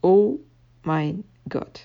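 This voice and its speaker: A woman's voice: lowish voice, lively intonation, drawn-out words, gravelly, muffled recording, heavily acted. A woman playing a gushing social media commenter, reading online comments aloud. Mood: moved, gushing.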